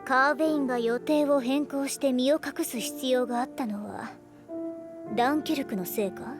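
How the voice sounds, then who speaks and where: Woman playing a high-voiced imperious anime girl. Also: moderate pace, lightly acted. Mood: probing. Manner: imperious, teasing, old-fashioned phrasing. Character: haughty girl with an archaic, elderly lordly way of speaking. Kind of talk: Japanese anime game voice acting. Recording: background music behind the voice.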